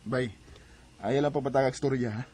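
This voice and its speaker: An actor playing a young live-in boyfriend in a radio drama, speaking with a Cebuano Filipino accent. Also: male voice, medium pitch, fairly even intonation, normal speed, a little mellow, husky, lightly acted. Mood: worried, disbelieving. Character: young live-in boyfriend, easygoing turning suspicious.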